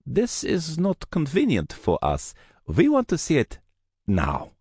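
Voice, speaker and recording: A ruthless Russian gang leader, voiced by a male narrator, speaks with cold, clipped menace in a Russian accent. His words come out flat and threatening. The sound is dry and close. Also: on the high side, slow pace, husky, dry recording, voice pushed up, full character voice. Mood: menacing.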